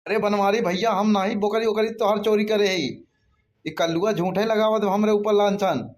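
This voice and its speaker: A man's voice: high voice, fairly even intonation, moderate pace, natural voice. A man voicing an aggrieved village bachelor in a cartoon, pleading his case in an Awadhi accent. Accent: Awadhi, eastern Uttar Pradesh.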